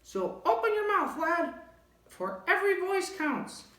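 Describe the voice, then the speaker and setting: A man's voice: very high-pitched, very animated, a little slow, sharp tone, echoey room, pitched above the performer's own voice, exaggerated voice. A man voicing a tiny, frantic storybook mayor and his little townsfolk. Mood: urgent rallying. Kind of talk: storybook read-aloud.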